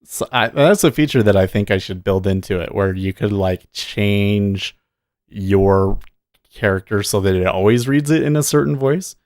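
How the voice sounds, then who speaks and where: A man's voice: fairly low pitch, moderate pace, darkish tone, no room sound, clean studio sound. A man hosting a day trading livestream, chatting casually and thinking aloud, often muttering to himself between points.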